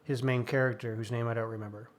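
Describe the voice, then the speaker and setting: A man's voice: mid-range voice, fairly even intonation, fast talker. A man co-hosting a podcast, chatting casually and informally about books. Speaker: podcast co-host. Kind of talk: casual book chat.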